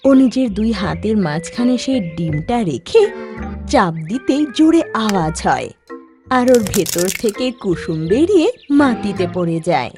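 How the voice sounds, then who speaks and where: A female narrator tells a scripted cartoon story. Her voice has a middle pitch and moves with lively intonation, while music plays softly behind her. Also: unhurried. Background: soft background music.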